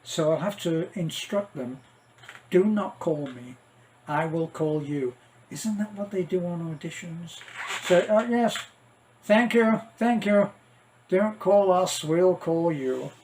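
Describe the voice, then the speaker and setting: A man's voice: high-pitched, lively intonation, slow pace, darkish tone, a little hiss or hum, low-quality recording. An older man chatting casually to viewers as a vlogger, wry and self-deprecating, drifting off into asides. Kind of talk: casual rambling vlog chat to viewers.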